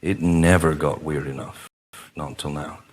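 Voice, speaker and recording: Man playing a deep-voiced deranged gonzo journalist. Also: fairly even intonation, moderate pace. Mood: frayed, sardonic. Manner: ominous, sardonic. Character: deranged, world-weary male gonzo journalist, darkly sardonic. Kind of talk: comedic radio play monologue.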